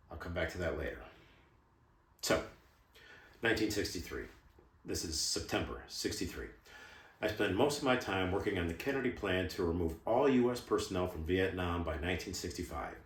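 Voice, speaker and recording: Low-voiced, guarded ex-military insider acted by a man. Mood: matter-of-fact, wry, then measured, grave. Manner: measured, confiding, emphatic, clipped phrases. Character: shadowy ex-military intelligence insider, guarded, cynical, revealing secrets. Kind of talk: dramatic film monologue performance. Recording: roomy, echoing room sound.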